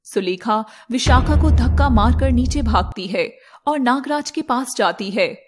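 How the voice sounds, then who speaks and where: A woman narrating a scripted animated fairy tale as storyteller, with noise behind her. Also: fairly high pitch, measured pace, over music.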